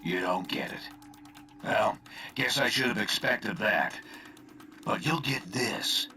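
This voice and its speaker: An actor playing a veteran spymaster turned villain, colloquial and coolly persuasive, with menace, in a game cutscene. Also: male voice, fairly low pitch, slow pace, sharp tone, a little hiss or hum. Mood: cold threat. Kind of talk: dramatic video game cutscene acting.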